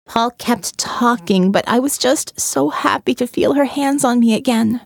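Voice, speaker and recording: A woman narrating a first-person audio novel in a measured, intimate way. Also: a little high, vocal fry, no room sound.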